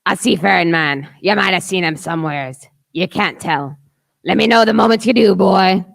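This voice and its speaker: A woman playing a gruff, suspicious old seaman captain in an amateur radio play, with commanding, salty pirate talk. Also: lowish voice, normal speed. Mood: wary, commanding.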